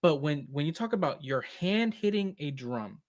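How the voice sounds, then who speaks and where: A percussionist in a casual live video chat, talking in an animated, fast way and now and then slipping into Spanish phrases. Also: male voice, on the high side, no echo, clean studio sound. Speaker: percussionist guest.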